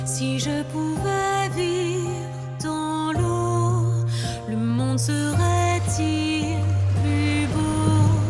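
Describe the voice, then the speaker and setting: Very high, slow, bittersweet singing heroine. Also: female voice, steady tone, over loud music. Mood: longing. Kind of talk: theatrical singing in a game musical.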